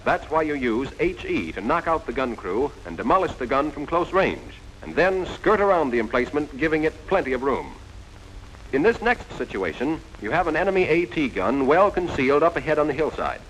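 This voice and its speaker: A man's voice: on the high side, sing-song, a little fast, fairly bright tone, a little hiss or hum, low-quality recording. An American man narrating a 1940s army instructional film, directly instructing the listener in a scripted style on a vintage soundtrack. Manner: direct, instructive, addressing the trainee as "you".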